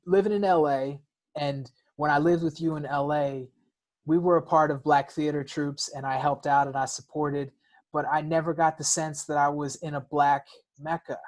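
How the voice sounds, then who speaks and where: A man's voice: a little high, steady tone, normal speed. A man co-hosting a podcast, speaking reflectively in casual conversation, with frequent restarts and repeated words. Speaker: podcast co-host.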